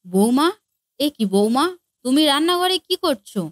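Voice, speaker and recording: Woman playing a quick-fire nagging older cartoon mother-in-law. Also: high voice, animated, dry recording, clean studio sound. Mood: suspicious, nagging. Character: suspicious, scornful older mother-in-law, fussy and bossy. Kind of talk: Bengali family comedy cartoon dubbing.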